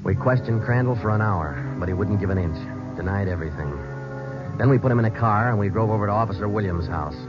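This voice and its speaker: An actor playing a terse, deadpan male police sergeant who narrates an old-time radio detective drama. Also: on the low side, quick pace, close to an everyday voice. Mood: matter-of-fact narration. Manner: clipped, deadpan. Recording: dull vintage radio recording, music behind.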